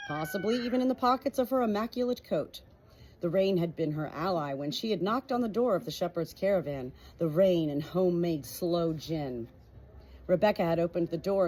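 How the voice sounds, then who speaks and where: A woman with a slightly husky voice narrating a storybook from a script. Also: fairly low pitch, expressive, normal speed, a little mellow, low-quality recording. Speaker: audiobook reader.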